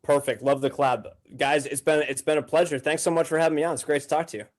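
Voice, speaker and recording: A man's voice: a little high, fairly even intonation, quick pace, no room sound, studio quality. Agreeable man chatting as a guest. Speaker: podcast guest. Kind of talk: casual chat.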